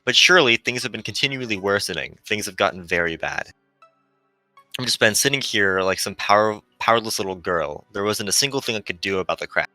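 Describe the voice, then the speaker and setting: Man voicing a gentle, bright-edged dying ship AI girl. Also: fairly low pitch, animated, a little fast, no room sound, faint music behind, poor audio. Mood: regretful. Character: fading female shuttle AI, gentle, self-sacrificing, melancholy.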